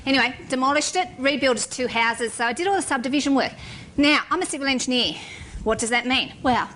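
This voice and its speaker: Woman, middle-aged, fairly high voice, blunt and joking, faint room hiss. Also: fairly bright tone, low-quality recording. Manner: frank and wry, with blunt humor. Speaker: civil engineer giving a talk. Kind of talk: informal talk to an audience.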